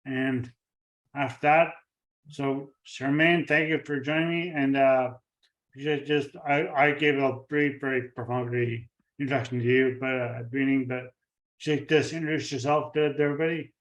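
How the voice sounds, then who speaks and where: Halting man, flat voice, roomy echo. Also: medium pitch, lo-fi sound. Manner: halting, many fillers and restarts. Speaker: podcast host. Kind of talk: casual interview podcast. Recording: echoey room, far from the mic.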